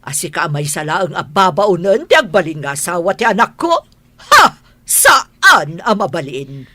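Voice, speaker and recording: A woman's voice: on the low side, sing-song, moderate pace, sharp tone, dry recording, full character voice. An actress playing a haughty, middle-aged rich mother who looks down on others, in a radio drama. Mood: scornful outrage. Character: haughty rich disapproving mother, scornful madam. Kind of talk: Ilocano radio drama acting.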